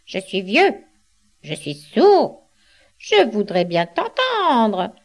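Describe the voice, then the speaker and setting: Sly old fox voiced by a woman, slow coaxing delivery, flattering, feigning deafness, storybook tale. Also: medium pitch, full character voice.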